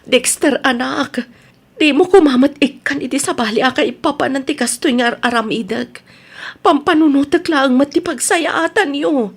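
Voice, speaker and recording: A woman's voice: high voice, normal speed. A woman playing an anxious, protective mother in an Ilocano radio drama, speaking emotionally and pleadingly with an Ilocano accent. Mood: worried. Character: anxious, protective mother pleading over her sick sons.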